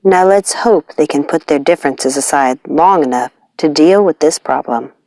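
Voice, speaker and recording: Woman as a low, poised talking cat. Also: normal speed, mellow, no room sound. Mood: dry, resolved. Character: poised, wise female cat, witches' companion.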